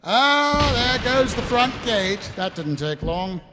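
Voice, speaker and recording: A sarcastic tired city guard captain, live radio play, big reverby hall w background noise, lively delivery. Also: male voice, extremely high pitch, takes it slowly, full character voice. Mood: wry, startled. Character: weary, sardonic male city watch captain. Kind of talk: live audio theatre acting. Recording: echoey noisy hall, live stage performance.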